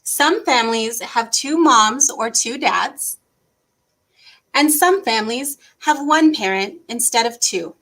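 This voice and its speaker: Female children's story time host, high and bright, encouraging, speaks simply to little kids. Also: lively intonation, slow pace, poor audio. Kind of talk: interactive read-aloud for young children.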